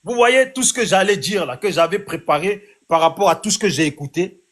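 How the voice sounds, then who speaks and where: A man with a high voice talks in a heated online debate. He rambles and repeats himself, sounding flustered as he loses his thread. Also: a little fast, a bit bright. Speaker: debate participant.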